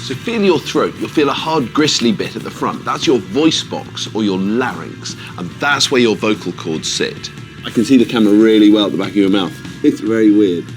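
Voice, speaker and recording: A man presenting as a doctor in an educational video, explaining clearly. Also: fairly low pitch, lively intonation, normal speed. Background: music.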